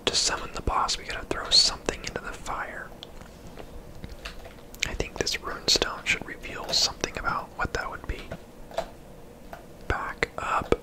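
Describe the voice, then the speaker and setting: A person whispering casual, laid-back ASMR commentary over a video game, in a breathy, unvoiced voice. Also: unhurried, pauses often, poor audio. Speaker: host, gamer.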